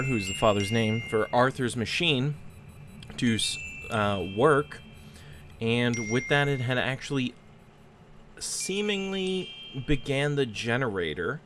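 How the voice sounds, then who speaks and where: A man's voice: mid-range voice, noisy background. A man hosting a casual let's play, chatting through the game with apologetic asides. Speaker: host of a gaming channel. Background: game music.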